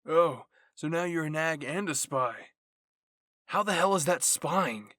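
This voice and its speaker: A man voicing hot-headed, bickering teenage boy soldiers in an anime fan fiction, loud, exasperated and snapping. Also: fairly high pitch, animated, measured pace, no echo, clean studio sound, pitched above the performer's own voice. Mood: irritated, shouting. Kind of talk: character voices in a fan fiction reading.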